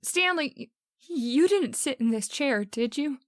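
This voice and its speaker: A woman's voice: high voice, animated, unhurried, no echo, studio quality. A woman playing an anxious young gangster's moll in an old-time radio horror drama. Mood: frightened. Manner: anxious, pleading. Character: nervous young female gangster's moll, cute and wary.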